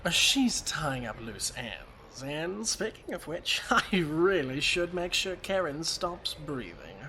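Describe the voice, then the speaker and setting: A man's voice: a little high, very animated, takes it slowly, bright, forceful tone, a little hiss or hum, full character voice. An actor playing a gleefully cruel madman sorcerer in an audio drama, theatrical, mock-polite and taunting. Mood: mocking, amused.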